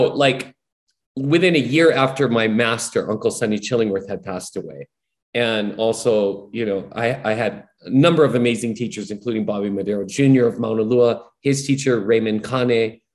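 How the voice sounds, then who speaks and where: A man from Hawaii, a musician guest, telling his story in an earnest, rambling way, with many "you know"s. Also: medium pitch. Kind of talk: casual reflective storytelling.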